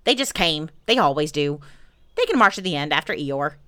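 A woman voicing a brisk, self-important male rabbit in a children's storybook, dry and offhand. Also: lowish voice, very animated, sharp tone, dry recording. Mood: careless.

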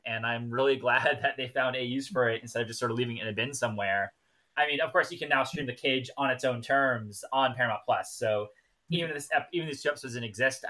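A man's voice: medium pitch, sharp tone, rough recording. A man in a casual, analytical discussion on a TV review podcast. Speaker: podcast co-host.

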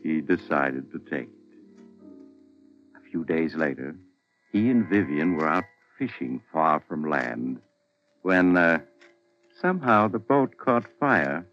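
An actor as the grave, ominous narrator of an old-time radio drama. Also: male voice, extremely low pitch, sing-song, takes it slowly, long pauses, fairly bright tone, exaggerated voice. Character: grave, ominous male storyteller. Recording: muffled vintage radio, music underneath. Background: music.

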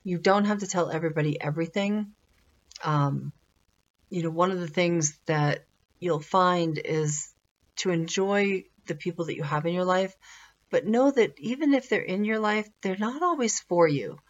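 Low-voiced woman, dull lo-fi recording. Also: normal speed. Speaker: motivational spiritual speaker. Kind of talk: informal advice talk.

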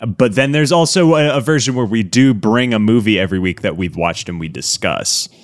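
A man, talking casually as a podcast co-host pitching ideas. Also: fairly quick, no room sound, studio quality. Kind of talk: casual brainstorming chat.

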